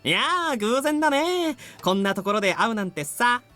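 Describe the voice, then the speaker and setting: A man's voice: very high-pitched, big pitch swings, quick pace, pushed and bright, faint music behind, heavily acted. An actor voicing a lecherous young man in a Japanese visual novel, with an affected, overly familiar manner. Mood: fake-casual, chummy. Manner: affected, casually chummy.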